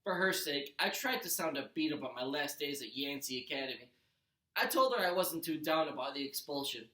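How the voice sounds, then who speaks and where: Bright-voiced man reading aloud, echoey room. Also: fairly high pitch, expressive, brisk. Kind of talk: expressive storybook narration in first person. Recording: roomy echo.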